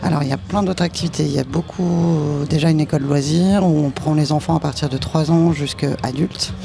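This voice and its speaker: A person from a circus school explaining its activities in an interview. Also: female voice, low-pitched, a little fast, vocal fry. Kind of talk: interview answers. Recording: music in background.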